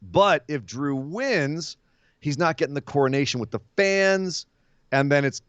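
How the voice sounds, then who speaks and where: A man with a slightly hoarse voice talks with animated conviction, laughing easily as he argues his picks. The sound is dull and lo-fi, dry and close, like a remote podcast mic. His pitch sits in a middle range. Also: no room sound. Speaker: podcast co-host. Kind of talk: casual wrestling podcast chat.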